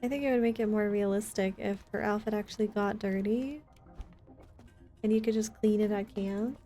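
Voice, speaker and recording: A woman's voice: mid-range voice, fairly even intonation, normal speed, mellow. A woman streaming a video game, chatting casually and addressing her viewers as she plays. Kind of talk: casual livestream gameplay chat. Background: video game music.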